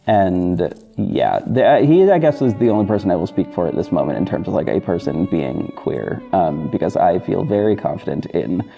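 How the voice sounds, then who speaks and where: A man hosting a casual, unscripted podcast update, talking in a rambling, halting and effusive way. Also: low voice, animated, creaky, dark tone. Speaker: podcast host and audio drama creator. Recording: dull muffled sound with music bed. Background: backing music.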